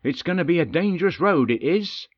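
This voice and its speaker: A man voicing a gruff, hearty male dwarf king in an audiobook, speaking in a folksy dwarvish dialect, jovial and bossy. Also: fairly high pitch, lively intonation, a little fast, no room sound, highs cut off, voice pushed up. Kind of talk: audiobook character voice.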